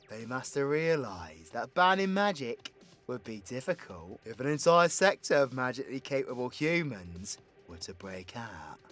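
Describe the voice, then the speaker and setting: Guy doing a young british supervillain for audio roleplay, cocky n sweary, teasing but fond, takes his time, pitch all over the place. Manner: theatrical, swearing, swinging from hushed confiding to loud outbursts. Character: cocky, foul-mouthed young male supervillain, teasing and affectionate. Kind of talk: intimate audio roleplay monologue.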